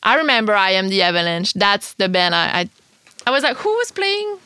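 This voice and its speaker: Bright, lively woman hosting a video-call chat. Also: medium pitch, a little fast, clear, resonant tone, no echo. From Quebec. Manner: excitable, laughs often, swears in surprise. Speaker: podcast host. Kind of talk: casual video-call interview.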